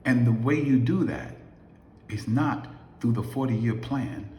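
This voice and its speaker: Man, late fifties, mid pitch, emphatic motivational vlogger; recorded in a reverberant room with a faint hiss. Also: a little slow, a little mellow. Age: 50s. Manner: emphatic, preachy, rhetorical questions. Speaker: affiliate marketer vlogger. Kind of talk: motivational talk to camera. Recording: echoey room.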